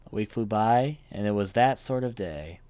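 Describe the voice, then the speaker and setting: Deep-voiced man reading a visual novel, animated. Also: normal speed, faint music behind. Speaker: let's play host reading a visual novel aloud. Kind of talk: animated read-aloud narration with off-the-cuff commentary.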